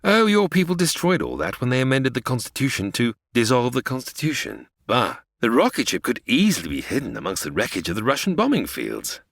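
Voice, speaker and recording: A scheming villain with big theatrical swings in pitch. The voice is recorded close and dry, in a comedy audio drama style. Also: male voice, huge pitch swings, a little fast, hardly any pauses, fairly bright tone, dry recording, exaggerated voice. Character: scheming male villain Killer Kane, smug.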